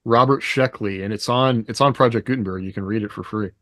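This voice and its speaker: A man's voice: lowish voice, a little fast, a bit bright, dry recording, studio quality. A man co-hosting a podcast, talking informally and thinking aloud in a casual panel discussion. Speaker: podcast co-host.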